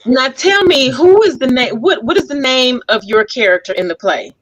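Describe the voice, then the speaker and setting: A woman's voice: sits high, animated, normal speed, muffled recording. A woman hosting a casual group interview in a playful, teasing, chatty way. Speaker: talk show host.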